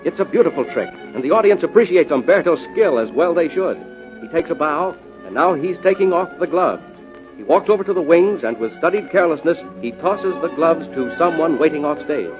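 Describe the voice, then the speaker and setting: A man playing an excitable radio announcer, delivering punchy scripted lines for a mystery contest show. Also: fairly quick, close to an everyday voice. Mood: hushed suspense. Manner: excited, punchy sales pitch. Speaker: announcer and narrator. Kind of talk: old-time radio announcing, scripted narration. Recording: dull old radio recording, music behind.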